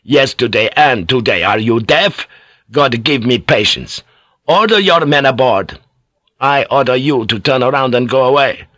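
A man's voice: mid-range voice, animated, measured pace, pushed and bright, no room sound. A man voicing a stern, righteously indignant senior churchman in an audiobook, giving emphatic, imperious commands. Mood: outraged command.

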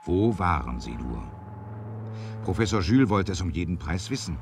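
A man's voice: low voice, big pitch swings, moderate pace, vocal fry, slight background noise. A man narrating an adventure radio play from a script in a dramatic storytelling manner.

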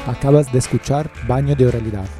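A man's voice: medium pitch, steady tone, quick pace. A man from reading a scripted radio outro as announcer. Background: guitar music.

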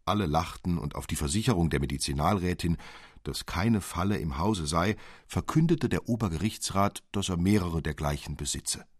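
A man narrating a literary audiobook expressively, in a measured, careful storytelling manner. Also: deep voice, lively intonation, breathy edge, no echo, studio quality.

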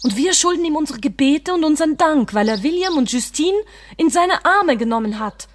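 High-voiced, devout, grieving woman. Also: animated, fairly quick. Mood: pleading, grieving. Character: devout, grieving woman, earnest and firmly consoling. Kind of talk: dramatic radio play acting.

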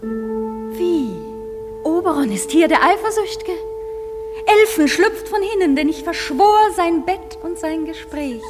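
An actress playing a proud, indignant fairy queen, declaiming verse in a grand, deliberate way for a radio play. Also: very high voice, expressive, exaggerated voice. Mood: scornful, indignant. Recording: music behind the voice.

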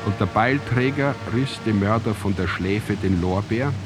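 An actor as the grave narrator of an ancient tragedy in a radio drama, reciting in a measured, solemn, declamatory way. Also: male voice, fairly low pitch, lightly acted. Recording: music under the voice. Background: music.

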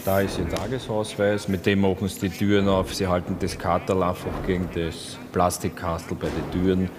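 An actor playing a bored front-desk porter with an Austrian accent, halting and matter-of-fact, in a radio drama. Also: male voice, sits low, level tone, crowd noise, lightly acted.

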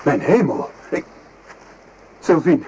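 An actor playing an earnest, emotional French artillery sergeant in a radio play, speaking haltingly. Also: male voice, on the high side, sing-song, dark tone, heavily acted. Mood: astonished. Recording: noisy old radio drama recording.

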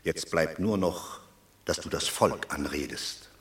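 A commanding Roman senator. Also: male voice, extremely low pitch, unhurried, echoey room. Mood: formal command. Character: authoritative male Roman senator. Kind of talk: classic stage radio drama.

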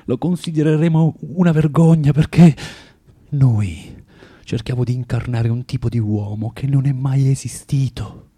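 A tormented, bitter recluse, theatrical. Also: male voice, medium pitch, warm tone, husky. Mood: bitter, reflective. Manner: volatile, declamatory, halting dramatic delivery. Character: bitter, self-loathing underground man, proud and tormented. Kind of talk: theatrical radio drama acting and monologue.

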